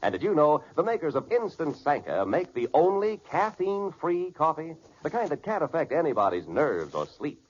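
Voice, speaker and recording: A man's voice: a little high, very animated, normal speed, few pauses, slight background noise. A man delivering a scripted old radio commercial with emphatic salesmanship. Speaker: commercial announcer. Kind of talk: scripted 1940s radio advertising. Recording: dull vintage radio recording.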